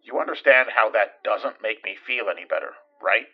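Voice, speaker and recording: A man playing a caring, protective friend in a naturalistic audio drama, with a gentle, hesitant and reassuring way of talking. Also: fairly low pitch, expressive, moderate pace, slightly rough, highs cut off. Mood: concerned, coaxing.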